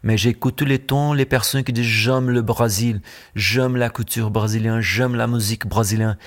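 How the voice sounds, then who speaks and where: A Brazilian man speaking French with a Brazilian accent, a musician guest in a radio studio interview, pausing often to search for words. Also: medium pitch, fairly even intonation. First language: Brazilian Portuguese.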